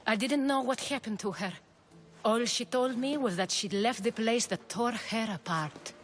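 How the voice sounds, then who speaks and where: An actress voicing a reflective woman recalling the past in a video game, calm and reminiscing. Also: on the high side, moderate pace, natural voice. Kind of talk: video game cutscene acting.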